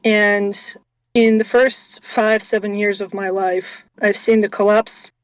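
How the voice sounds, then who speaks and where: A woman in her thirties, born in Russia, telling her story as a guest on an interview stream in a measured way with frequent ums. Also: mid-range voice, level tone, dry recording, distant mic, poor audio. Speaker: guest, venture investor. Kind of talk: casual interview chat.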